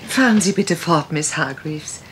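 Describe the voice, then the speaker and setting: A woman acting a businesslike, shrewd detective's assistant in a German radio mystery play, speaking calmly, formally and directly. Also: deep voice, unhurried, a little hiss or hum. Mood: brisk, probing.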